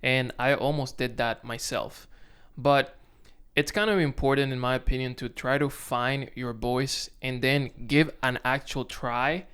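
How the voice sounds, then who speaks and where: A man's voice: mid-range voice, steady tone, a little slow, fairly bright tone, clean tone. A young man from the Dominican Republic with a Dominican Spanish accent, talking casually and self-consciously to the camera as a content creator, with frequent restarts. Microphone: camera on tripod.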